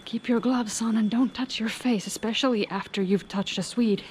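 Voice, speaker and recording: A woman's voice: on the high side, fairly even intonation, moderate pace, a little mellow, slight background noise. A woman talking casually to her camera as she travels through an airport, chatty and sarcastic, swearing freely. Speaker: vlogger. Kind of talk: casual travel vlog monologue. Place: airport terminal.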